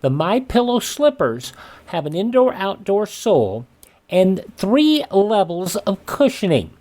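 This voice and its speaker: A man's voice: high-pitched, sing-song, measured pace, darkish tone. A man with an American accent presenting a radio show in a folksy, conversational, personal way. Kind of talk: conversational presenting and host-read endorsement.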